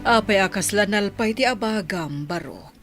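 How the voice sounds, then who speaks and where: A woman's voice: medium pitch, animated, moderate pace, over music, natural voice. A woman with a Filipino accent playing a concerned, sympathetic godmother in a radio drama.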